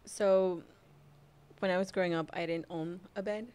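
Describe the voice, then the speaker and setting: A young woman from Mexico whose first language is Spanish, a mother sharing her story as a podcast guest in a soft, hesitant way with frequent laughs. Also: fairly low pitch, fairly even intonation, moderate pace, smooth. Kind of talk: casual personal storytelling.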